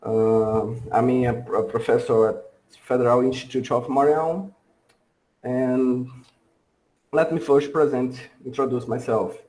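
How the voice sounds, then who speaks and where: A man from Brazil with a Brazilian accent giving a workshop lecture over a video call, halting and full of fillers and restarts. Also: mid-range voice, steady tone, darkish tone, poor audio. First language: Portuguese.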